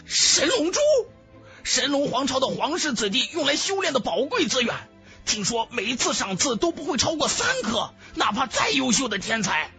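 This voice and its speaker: A man's voice: very high-pitched, sing-song, moderate pace, bright, forceful tone, faint music behind. A man narrating a fantasy audiobook in a dramatic, scripted storytelling style.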